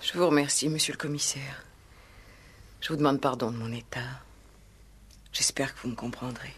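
An actress playing a grieving, dignified widow, hesitant, formal and restrained, in 1960s French radio drama style. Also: female voice, extremely low pitch, fairly even intonation, moderate pace, a bit bright, a little hiss or hum. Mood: distraught. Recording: vintage 1960s radio play recording.

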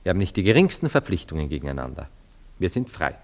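An actor in a German radio play, playing a self-dramatizing, indecisive lover, effusive and hesitant. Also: male voice, low voice, big pitch swings, fast talker. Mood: earnest, hesitant. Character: self-dramatizing, sentimental, indecisive male lover, a Viennese dandy.

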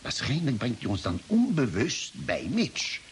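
A thoughtful male expedition doctor in an old radio play. He reasons things out deliberately, pausing mid-sentence, with a lively rise and fall in pitch. The recording carries a light hiss. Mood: pondering. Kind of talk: 1950s-style radio drama acting.

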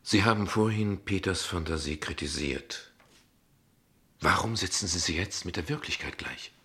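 An actor in a German radio play as a guarded, ironic father, dryly formal and deliberate, with a gravelly, husky voice. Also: deep voice, sharp tone, full character voice. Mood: defensive, cool. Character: guarded, ironic father, courteous but defensive.

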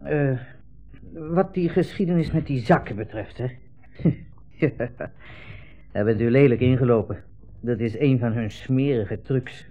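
A man's voice: mid-range voice, measured pace, long pauses, warm tone, natural voice. An actor playing a smug, insinuating army captain in a 1960s radio play, hemming as he puts condescending, leading questions. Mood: smug coaxing. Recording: muffled old radio recording, narrow band.

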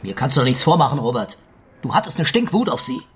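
Actor as stern detective father, animated delivery. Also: mid-range voice, quick pace, a little hiss or hum, close to an everyday voice. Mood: accusing. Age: middle-aged. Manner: interrogating, forceful, sarcastic. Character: stern, sceptical police detective father, middle-aged, commanding. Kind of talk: German radio crime drama acting.

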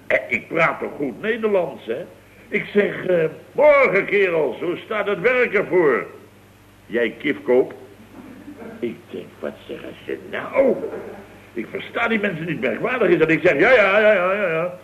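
A man's voice: high-pitched, very animated, measured pace, a bit bright, exaggerated voice. An actor playing a blustering, exasperated Dutch company boss in a late-sixties radio comedy, exclaiming his way through anecdotes. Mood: aggrieved. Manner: exclamatory, anecdotal, full of tag questions. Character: blustering, exasperated male boss of a building firm. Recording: dull old radio recording.

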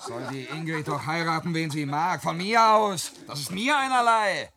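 An actor playing a dreamy, boastful, restless peasant lad in a radio play, with rough voice and declamatory delivery that swings from brooding murmur to exuberant cries. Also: male voice, a little high, fairly even intonation, moderate pace, over music, natural voice. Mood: bitter, brooding. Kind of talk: theatrical radio drama acting in verse.

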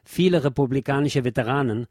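A man reading scripted documentary narration in a measured, solemn, literary manner. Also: mid-range voice, a little mellow, no echo, studio quality.

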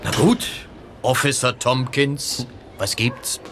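Wry, mid-pitched doctor. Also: male voice, steady tone, takes it slowly, fairly bright tone. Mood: wry, friendly. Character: wry, guarded male doctor, friendly but principled. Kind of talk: German radio drama acting. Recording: clear background ambience noise.

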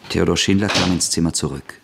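A man with a husky voice reading scripted literary narration as the narrator of a radio drama. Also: sits low, brisk, background noise.

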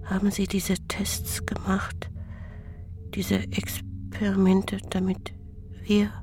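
An actress playing a troubled woman haunted by experiments, in an intimate, breathy, halting radio drama monologue. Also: medium pitch, completely flat delivery, lightly acted. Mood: hushed horror. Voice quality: breathy, whispery. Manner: slow, hushed, halting delivery. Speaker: actor.

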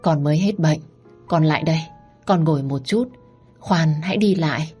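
Woman doing a gruff old rich dad, low and kinda breathy, bossy. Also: moderate pace, warm tone, soft background music. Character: stern old rich landowner father, doting.

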